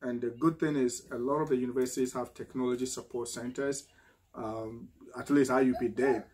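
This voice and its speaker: A man's voice: mid-range voice, steady tone, a little fast, darkish tone, breathy edge. A middle-aged man from Ghana with a Ghanaian accent, talking casually to camera as a vlogger, often repeating words and tagging "right?" onto his sentences. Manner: conversational, frequent restarts and "right?" tag questions. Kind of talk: casual advice monologue.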